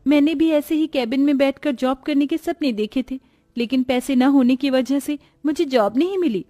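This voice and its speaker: A woman's voice: sits high, moderate pace, mellow, dry recording, natural voice. A woman voicing a humble, educated young woman from a poor family in a cartoon moral story, earnest and pleading. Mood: wistful, meek.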